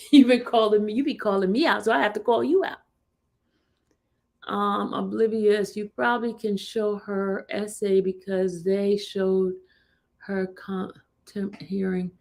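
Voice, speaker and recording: A woman's voice: medium pitch, fairly even intonation, a little slow, gravelly, darkish tone. A woman, chatting on her livestream and laughing with her viewers. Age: 50s. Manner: chatty, laughs often, talks to her live chat. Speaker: livestream host. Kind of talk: casual livestream chat.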